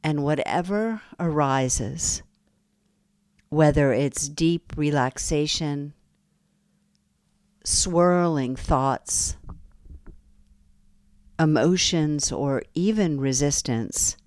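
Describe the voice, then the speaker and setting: A woman guiding a yoga nidra relaxation, speaking softly and soothingly with long pauses between phrases. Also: sits low, clear, resonant tone, no room sound. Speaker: meditation guide. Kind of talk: guided yoga nidra meditation.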